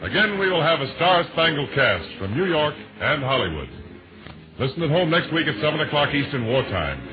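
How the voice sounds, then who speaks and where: A man's voice: on the high side, big pitch swings, moderate pace. A man announcing in the scripted style of a 1940s network radio show. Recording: dull vintage radio, music behind.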